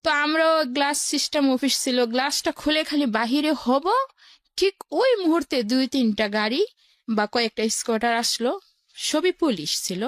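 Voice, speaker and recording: A woman with a high voice and a Bangladeshi accent shares personal experience as a guest. She narrates in a plain, colloquial way, one step after another. The sound is dry and close. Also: moderate pace, no room sound. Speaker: guest telling her life story. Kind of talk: personal storytelling in an interview.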